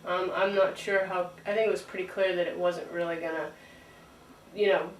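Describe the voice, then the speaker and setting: A woman's voice: fairly low pitch, steady tone, normal speed. A middle-aged woman recalling family events conversationally as an interviewee. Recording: echoey room, distant mic, faint noise.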